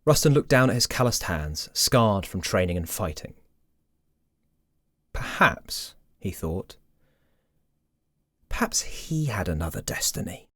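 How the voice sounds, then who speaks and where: A man narrating a fairy tale from a script in a measured, gentle storytelling manner. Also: deep voice, very animated, dry recording, studio quality.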